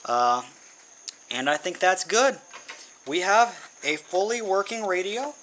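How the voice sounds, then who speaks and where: A man's voice: high-pitched, big pitch swings, slow, fairly bright tone. A man giving a casual how-to demonstration, informally thinking aloud as he works. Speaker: hobbyist presenting an electronics build. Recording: noisy amateur recording with insect hum.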